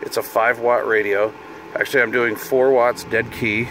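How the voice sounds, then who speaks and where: A man's voice: medium pitch, little pitch movement, moderate pace, a bit bright, low-quality recording. A middle-aged man giving a casual hands-on demonstration as a hobbyist video host, informal and half talking to himself. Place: inside a parked vehicle. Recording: noticeable car and fan noise.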